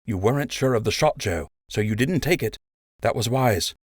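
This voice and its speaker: A man's voice: mid-range voice, expressive, moderate pace, husky, dry recording, clean studio sound, pitched above the performer's own voice. A man voicing a firm, matter-of-fact male rabbit officer in an audiobook, blunt and steadying. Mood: reassuring.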